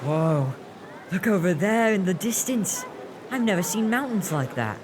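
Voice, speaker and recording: A man's voice: high-pitched, big pitch swings, unhurried, noisy background. A man playing an earnest, questioning, self-doubting youth who leads street kids in an audio drama. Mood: awed.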